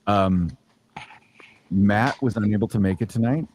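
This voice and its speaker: A man hosting a live-streamed podcast, his voice sitting a little low. He chats loosely and jokes around, and he often restarts his sentences. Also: unhurried, darkish tone, a little hiss or hum. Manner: joking, loose, restarts a lot. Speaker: podcast host. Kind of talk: casual live-stream chat.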